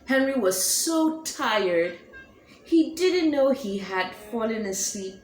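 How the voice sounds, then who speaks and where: Woman reading a kids storybook out loud, slow and animated, echoey room with faint hiss. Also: on the high side. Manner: expressive, animated. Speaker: storybook reader.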